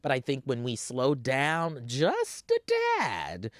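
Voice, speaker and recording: Lively, animated man chatting. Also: mid-range voice, slow, no room sound, studio quality. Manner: animated, reflective, laughs easily. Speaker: podcast guest, journalist. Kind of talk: casual chat.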